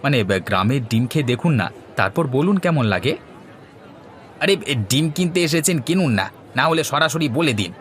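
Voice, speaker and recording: A man's voice: on the high side, lively intonation, a little fast, fairly bright tone, a little hiss or hum. A man playing an earnest village chicken farmer in scripted cartoon dialogue. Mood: insistent.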